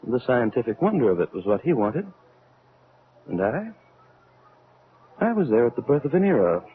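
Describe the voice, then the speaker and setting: An actor playing a stern, gloomy older doctor of science in a radio drama, formal and admonishing in manner. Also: male voice, mid-range voice, big pitch swings, moderate pace, slight background noise, lightly acted. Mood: brooding. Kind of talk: old radio drama acting. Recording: thin phone-like old radio recording.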